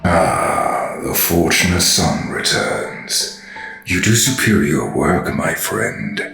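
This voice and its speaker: Condescending villain, audio drama, gravelly, sharp-edged, very slow, echoey. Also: male voice, low-pitched, expressive, heavily acted. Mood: smug, pleased. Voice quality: heavy gravelly fry. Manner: drawn-out, condescending, theatrical. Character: sinister male mastermind villain, smug, menacing, theatrical. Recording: roomy echo, faint music behind.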